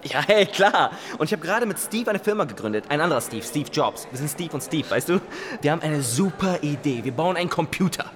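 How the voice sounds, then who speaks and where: High, excitable young computer geek played by a man. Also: brisk, few pauses, a crowd in the background, lightly acted. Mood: eager, excited. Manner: gushing, exclaiming in short bursts. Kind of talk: German radio drama acting.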